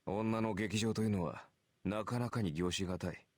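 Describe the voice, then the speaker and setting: A man's voice: on the low side, a little mellow, no room sound, lightly acted. A man acting a cynical, confident warrior archer in a visual novel, with cool, sardonic delivery. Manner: cool, sardonic, deliberate phrasing. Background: game music.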